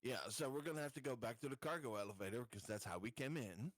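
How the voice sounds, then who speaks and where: A man's voice: mid-range voice, fast talker, no room sound. A man chatting casually as a player in a tabletop game, with a wry, sweary manner.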